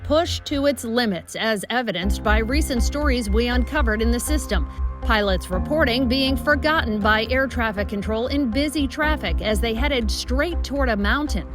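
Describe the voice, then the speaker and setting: A woman's voice: fairly high pitch, moderate pace. An American woman reading scripted investigative news narration as a television reporter. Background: music bed.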